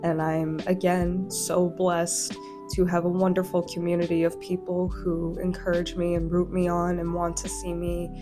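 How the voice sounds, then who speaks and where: Woman hosting her channel, bit hoarse from a cold, pretty even intonation, heartfelt, guitar playing behind her. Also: lowish voice, moderate pace. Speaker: channel host and fan fiction author. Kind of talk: video announcement to followers. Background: plucked guitar music.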